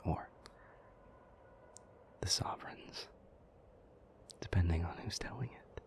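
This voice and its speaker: A man playing an ancient, somber, thoughtful demon in an intimate audio roleplay, telling old myths in a breathy, hushed, halting voice with long pauses. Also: sits low, exaggerated voice. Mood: solemn storytelling. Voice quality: breathy, whispery.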